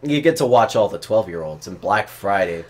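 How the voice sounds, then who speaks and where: A man with a very lively, swinging delivery chats casually. He tells anecdotes in an animated, informal way and swears without holding back. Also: on the low side, normal speed, a crowd in the background. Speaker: storyteller on a comedy chat show. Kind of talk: casual chat, telling work anecdotes.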